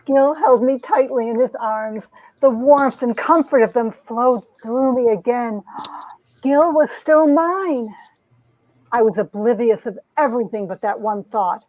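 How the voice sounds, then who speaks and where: A woman's voice: fairly high pitch, unhurried, no room sound, natural voice. A woman playing a wounded, proud young heroine, narrating and acting out a romance comic with earnest melodrama. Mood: melodramatic narration. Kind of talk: dramatic reading of a 1950s romance comic, narration and dialogue. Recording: dull, lo-fi sound.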